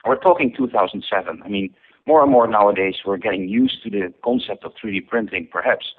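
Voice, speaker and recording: A man from the Netherlands, a company founder giving an interview. He speaks in a measured way with many ums and uhs. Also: on the low side, big pitch swings, creaky, breathy edge. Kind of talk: interview over the phone. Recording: tinny phone line.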